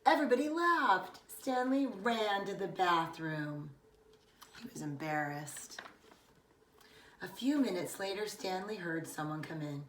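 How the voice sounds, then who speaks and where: Expressive middle-aged woman reading aloud, echoey room. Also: on the low side, slight background noise. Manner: expressive, deliberate storytelling with emphasized words. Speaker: preschool teacher. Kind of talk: children's picture book read-aloud. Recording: echoey room, distant microphone.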